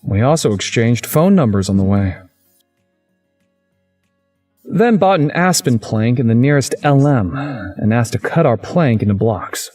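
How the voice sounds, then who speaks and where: A husky young man narrates a visual novel game with wide swings of pitch. He sounds wry, haunted and introspective, telling it dramatically over faint music. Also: animated, measured pace, long pauses, darkish tone. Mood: dry narration.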